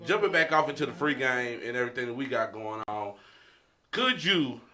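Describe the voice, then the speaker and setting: A man's voice: medium pitch, animated, brisk, pushed and bright. A man hosting a podcast in casual, slangy chat, often repeating his catchphrases. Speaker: podcast host.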